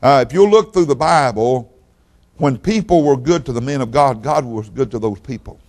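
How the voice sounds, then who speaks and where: A man's voice: mid-range voice, moderate pace, darkish tone. An older man with a drawl delivers a sermon as a guest preacher. He talks in a homespun, wandering way, stumbling and repeating words as he goes. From Oklahoma, raised in Texas. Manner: folksy, rambling, repeats words. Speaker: guest pastor.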